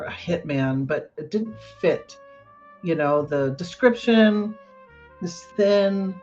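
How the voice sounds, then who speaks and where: Lady podcast host, casual halting commentary, bit lo-fi, faint music behind her. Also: low voice, a little mellow.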